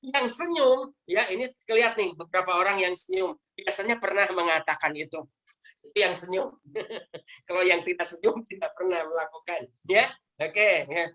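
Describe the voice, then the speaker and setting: A woman with a somewhat low voice leads a motivational sales training over a video call. She lectures emphatically and throws rhetorical questions at her listeners. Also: normal speed, a bit bright, rough recording. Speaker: network marketing trainer.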